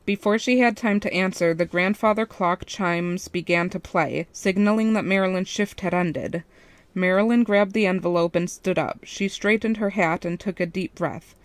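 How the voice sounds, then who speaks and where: A woman's voice: on the low side, normal speed, no room sound. A woman reading a first-person fan fiction story as its narrator.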